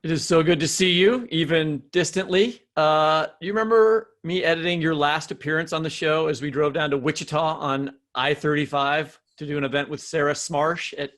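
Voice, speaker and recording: A man's voice: on the high side, normal speed, few pauses, fairly bright tone. A man co-hosting a podcast in casual, informal, rambling conversation. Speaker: podcast co-host, novelist.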